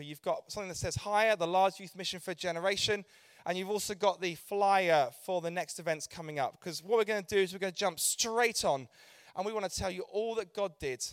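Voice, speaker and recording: A man's voice: high-pitched, clear, resonant tone, no room sound, studio quality. A man leading a youth ministry, speaking passionately to a church audience with emphatic, repeated rallying phrases. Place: church event hall.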